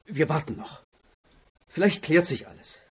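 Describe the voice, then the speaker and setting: A man's voice: fairly high pitch, animated, moderate pace, slightly rough. An actor playing a stern, worried middle-aged father in a radio crime drama, with clipped, demanding questions. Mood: worried, soothing.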